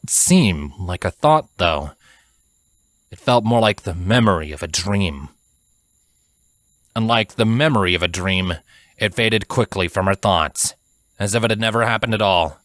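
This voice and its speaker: A man reading scripted fantasy fiction as narrator, in a measured, dramatic storytelling manner. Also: fairly low pitch, a bit bright, dry recording.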